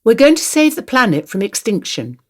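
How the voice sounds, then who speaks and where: A woman's voice: on the high side, very animated, normal speed, pitched above the performer's own voice. A woman voicing an earnest, bossy young eco-activist granddaughter in a radio monologue, speaking bluntly and emphatically. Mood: zealous.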